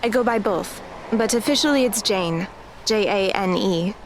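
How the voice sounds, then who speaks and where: A woman playing an anxious, self-doubting teenage girl in an audio drama, halting and nervous. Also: mid-range voice, slight background noise, close to an everyday voice, rough recording. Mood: guarded.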